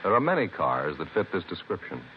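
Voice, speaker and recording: A man reading a scripted 1950s radio commercial as announcer, with deliberate sales emphasis. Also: low voice, big pitch swings, a little hiss or hum. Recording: muffled vintage radio recording.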